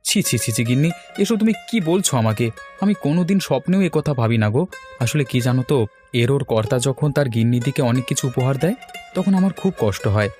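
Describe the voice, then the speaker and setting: Plaintive, quick-talking poor cartoon husband, a man acting. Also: mid-range voice, lively intonation, darkish tone, faint music behind, natural voice. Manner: plaintive, self-deprecating. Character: self-pitying, devoted poor husband. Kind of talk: Bengali cartoon dialogue.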